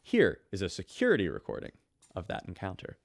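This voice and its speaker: A man's voice: low-pitched, huge pitch swings, a little fast, gravelly, a little hiss or hum. A man as a chatty, upbeat radio show host. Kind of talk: scripted radio drama host.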